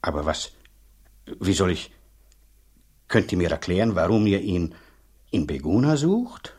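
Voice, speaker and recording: An actor playing a middle-aged, gruff and suspicious village priest in a radio drama, husky, blunt and talkative. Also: male voice, low voice, sing-song, measured pace, a little mellow, heavily acted. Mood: curious, puzzled.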